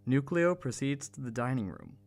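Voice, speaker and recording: Male narrator with lively, swinging intonation. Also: medium pitch, moderate pace. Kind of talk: scripted story narration.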